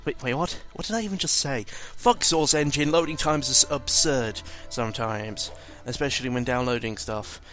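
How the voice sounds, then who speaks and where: A man's voice: medium pitch, moderate pace, few pauses, a bit bright, faint music behind. A man giving casual, rambling game commentary, informal and sweary, often correcting himself as he goes. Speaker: gaming video commentator.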